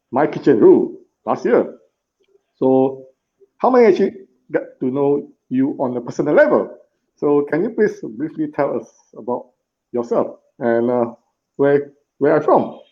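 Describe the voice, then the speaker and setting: A man hosting a live online interview session, speaking slowly and earnestly with many "uh" fillers and restarts. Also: fairly high pitch, sing-song. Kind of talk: scripted live-stream introduction and interviewing. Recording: muffled lo-fi live-stream audio.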